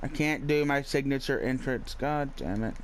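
A man's voice: medium pitch, normal speed, slight background noise. A man giving casual, wry, offhand commentary while playing a video game. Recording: game sound behind.

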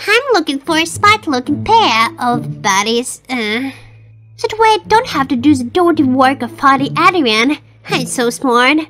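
A woman's voice: high-pitched, lively intonation, a little slow, fairly bright tone. A woman voicing a spoiled, scheming, haughty teenage mean girl in a comic dub, snooty and theatrical. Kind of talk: animated comic dub acting. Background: faint music.

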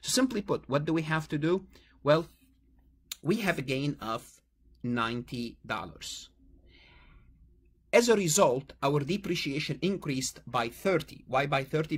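A male accounting lecturer with a husky, slightly rough voice works through a problem step by step. He repeats key phrases for emphasis and often asks a question before answering it himself. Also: medium pitch. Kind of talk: explanatory tutorial, working through an exam problem.

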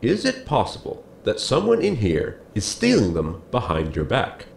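Man doing a gravelly, formal ship's assistant. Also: fairly low pitch, huge pitch swings, moderate pace, a little hiss or hum, full character voice. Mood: puzzled, dry. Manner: formal, explanatory. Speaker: actor in an audio drama. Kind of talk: sitcom audio drama acting.

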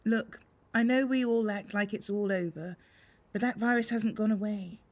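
Radio soap woman played by an actress: bossy, concerned, no-nonsense, mid-pitched. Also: fairly even intonation, moderate pace, lightly acted. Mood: firm, concerned.

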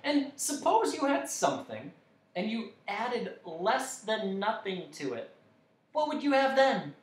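Male reader as chatty logical storybook creature, very high pitch, pleased, teacherly, echoey. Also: sing-song, unhurried, distant mic. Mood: eager lecturing. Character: pleased, chatty many-faced dodecahedron creature, logical and sympathetic. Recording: echoey room.

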